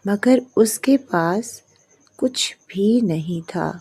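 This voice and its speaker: Dark, husky woman storyteller. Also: mid-range voice, expressive. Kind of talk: scripted narration of a moral story.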